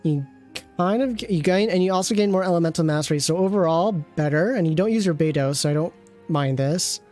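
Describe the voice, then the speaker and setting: Gamer doing a casual account review, muttering to self, medium pitch, music playing behind. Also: brisk, darkish tone. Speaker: streamer, game account reviewer. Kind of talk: casual gameplay commentary, thinking aloud.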